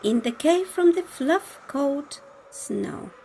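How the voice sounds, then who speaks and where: Very slow, animated woman reading a storybook. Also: sits high, slight background noise. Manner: dramatic, deliberate phrasing for children. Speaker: storybook reader. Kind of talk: expressive children's storytime read-aloud.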